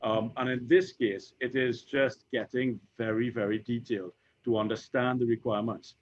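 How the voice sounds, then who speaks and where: A Trinidadian man in his fifties, an insurance executive speaking on a panel, who talks haltingly with many repeated words and fillers. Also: medium pitch, level tone. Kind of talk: conversational panel discussion. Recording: dull lo-fi recording.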